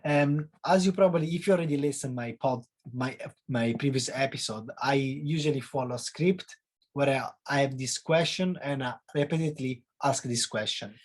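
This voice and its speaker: A man's voice: mid-range voice, normal speed, no room sound. A man in his twenties from Italy, speaking English with an Italian accent as a podcast host. He is eager and talkative, and he searches for words. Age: 20s. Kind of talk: casual podcast chat.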